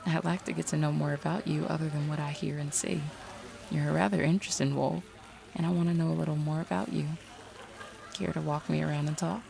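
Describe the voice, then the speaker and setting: A woman's voice: low voice, steady tone, a little fast, gravelly, a little mellow. A woman playing a confident, streetwise supernatural detective in an audio roleplay, drawling folksy slang in a teasing way. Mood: flirty, teasing.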